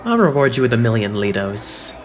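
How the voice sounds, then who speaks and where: Guy acting smooth teasing anime idol boss, composed, mid pitch, bit of noise behind. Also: big pitch swings, a little fast, lightly acted. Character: suave, teasing young male idol leader, slyly playful.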